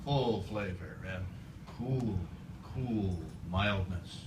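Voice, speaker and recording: A man playing an emphatic vintage cigarette announcer in an advert parody, with a drawn-out salesman's delivery. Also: lowish voice, darkish tone. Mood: selling. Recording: distant, echoey stage with noise.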